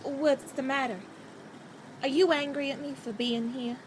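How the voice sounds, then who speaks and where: A woman playing a kind, frank young girl in a radio play, earnest and gently scolding. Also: high-pitched, fairly even intonation, a little slow, very noisy. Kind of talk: theatrical radio play acting.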